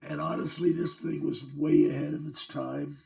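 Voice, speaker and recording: A middle-aged man with a hoarse, gravelly voice from an illness, hosting a casual, joking demo of old computer software. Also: a little high, level tone, moderate pace. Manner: joking, chuckling between remarks. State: hoarse, losing his voice from a virus. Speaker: channel host.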